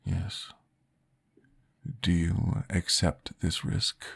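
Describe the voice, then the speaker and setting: Deep, gravelly, slow-spoken vampire therapist, formal and reassuring, in an ASMR roleplay, voiced by a man. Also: little pitch movement, exaggerated voice.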